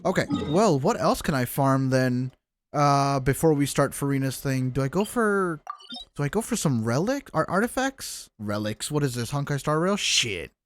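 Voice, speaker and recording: A man with a mid-pitched voice chats casually to his stream as he plays. He jokes and swears in an offhand way, and game music plays clearly underneath him. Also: big pitch swings, moderate pace. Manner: joking, swearing, talking to chat. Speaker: game livestreamer. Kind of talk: casual livestream game commentary. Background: video game music and sounds.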